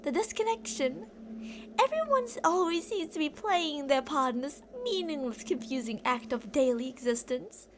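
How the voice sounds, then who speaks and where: A woman's voice: high voice, lively intonation, moderate pace, a little hiss or hum. A woman playing an obsessive, delusional female kidnapper who rambles theatrically and teasingly to a captive in an ASMR roleplay. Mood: bitter, disillusioned.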